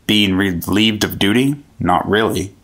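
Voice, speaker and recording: A man's voice: on the low side, measured pace, a bit bright. An American man playing an earnest, aggrieved 1950s government agent in a radio drama. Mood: indignant, defensive.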